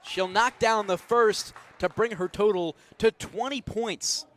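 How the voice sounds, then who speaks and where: A young man with a high voice works as a radio play-by-play announcer at a live basketball game. He follows the action in an energetic, descriptive style from inside a college arena. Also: slow pace. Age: young adult.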